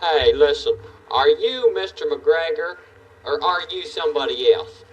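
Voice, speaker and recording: An eager, boyish puppet neighbor on a children's show. His fairly high voice swings up and down with folksy enthusiasm. He sounds set back from the mic. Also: animated, unhurried, husky, recorded from a distance, lo-fi sound. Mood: curious.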